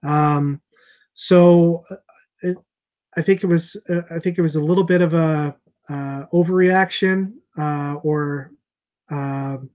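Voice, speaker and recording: A middle-aged man hosting a casual video call chat as a comic shop owner, thinking aloud with many pauses and fillers. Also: a little high, steady tone, darkish tone. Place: small room with shelves of comics behind. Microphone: earbud headset on a video call.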